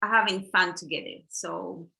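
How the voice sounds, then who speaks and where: A middle-aged woman from Chile, co-hosting an online workshop over a video call in a halting, casual way with fillers and restarts. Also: lowish voice, steady tone, gravelly, sharp tone. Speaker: workshop co-host.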